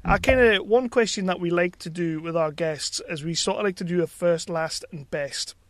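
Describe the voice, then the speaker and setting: A man with a somewhat high voice hosts a casual interview. He talks informally, like a fan, and the recording is very dry. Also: normal speed, no echo. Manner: informal, fannish. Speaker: podcast host.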